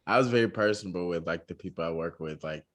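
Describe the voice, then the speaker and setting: Young guy on a podcast, real low voice, laid back, lots of "like" and "um". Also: fast talker. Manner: relaxed, many fillers, says he talks monotone. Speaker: podcast co-host. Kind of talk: casual podcast discussion.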